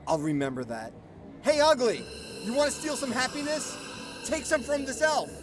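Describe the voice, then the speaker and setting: A man's voice: very high voice, sing-song, moderate pace, background noise. A man playing a cocky, wisecracking monster hunter in a radio drama, wry and quippy. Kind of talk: fan radio drama acting.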